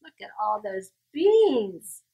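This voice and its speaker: A woman reading a children's story aloud in an expressive, dramatic way, putting questions to her young listeners. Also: medium pitch, takes it slowly, gravelly, husky, highs cut off.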